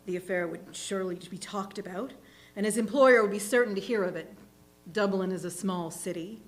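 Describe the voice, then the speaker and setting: A woman reading a literary short story aloud in a deliberate, expressive narrating style. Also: mid-range voice. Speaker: reader in a readers' theatre. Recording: faint background noise and hum.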